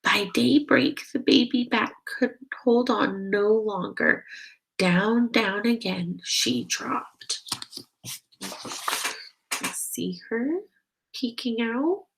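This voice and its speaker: A woman reading a children's picture book aloud, expressive and slow, stopping to ask the listeners questions. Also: fairly high pitch, creaky, a little hiss or hum, low-quality recording. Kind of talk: children's read-aloud narration.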